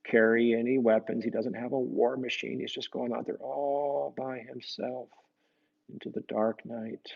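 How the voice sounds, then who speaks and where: A man reading a picture book to children, gently explaining it and often tagging questions onto his remarks. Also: medium pitch, level tone, a little slow, creaky, highs cut off. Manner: gentle, explanatory, asks the listeners tag questions. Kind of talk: storybook read-aloud with conversational asides.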